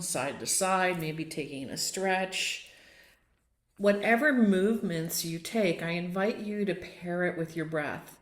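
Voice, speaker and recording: A woman leading a guided relaxation as a yoga nidra teacher, gently and without hurry, inviting listeners along. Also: on the low side. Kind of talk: guided meditation and relaxation instruction. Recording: roomy, echoing sound.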